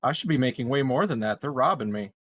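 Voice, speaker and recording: A man's voice: mid-range voice, animated, quick pace, fairly bright tone, no echo. A man playing a tabletop game, bantering casually with joking mock complaints.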